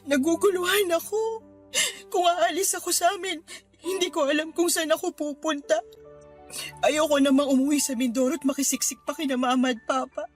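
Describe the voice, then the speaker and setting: A woman's voice: sits high, steady tone, a little fast, faint music behind, natural voice. A woman with a Filipino accent playing a hurt, anxious wife and mother in a radio drama, tearful and plaintive. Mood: despairing. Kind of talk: Tagalog radio drama acting.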